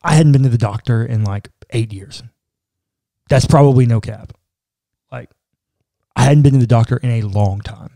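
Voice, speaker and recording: A man's voice: medium pitch, normal speed, creaky, long pauses, mellow, dry recording. A man in his thirties co-hosting a podcast, talking things through reflectively in a small room into a close studio mic. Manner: reflective, talks it through with frequent "like". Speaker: podcast co-host. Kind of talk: casual conversational podcast. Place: small room indoors, seated in armchair. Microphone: boom-arm studio mic close in front.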